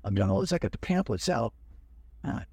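Older male guest, husky, dry close mic, lively storyteller who acts out the other voices. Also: on the low side, a little fast, no room sound. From United States. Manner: animated, acts out other people's lines. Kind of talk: storytelling in an interview.

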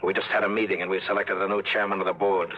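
A man's voice: deep voice, flat delivery, quick pace, soft background music. An actor playing a cold, smug male crook with a sneering, threatening manner in an old radio drama. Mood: menacing. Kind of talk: 1950s radio drama acting. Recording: muffled old radio broadcast.

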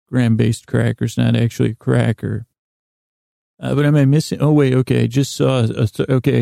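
Male podcast host, mellow and dark-toned in a dry close recording; wandering, stumbling, gravelly delivery that keeps doubling back. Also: mid-range voice, no room sound. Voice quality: gravelly, creaky. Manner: meandering, stammering, halting, trails off and circles back. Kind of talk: rambling comic podcast monologue.

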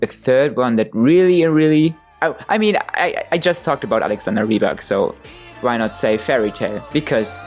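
A man's voice: medium pitch, moderate pace, faint music behind. Young guy, giggly and sleepy-voiced, easygoing interview guest. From Germany. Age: young adult. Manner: giggly, restarts words, chatty. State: sleepy, tired voice. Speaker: guest singer. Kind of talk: casual interview chat.

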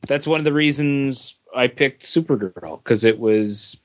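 Regular guy co-hosting a podcast, average pitch, chatty, muffled recording. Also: a little slow. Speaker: podcast co-host. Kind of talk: casual chat. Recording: dull, lo-fi podcast audio.

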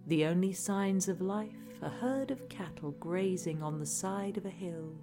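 A woman reading a scripted guided relaxation in a soothing, hushed, deadpan way. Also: fairly low pitch, a little slow. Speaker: narrator, reader. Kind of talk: scripted guided relaxation narration, parody meditation. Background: music.

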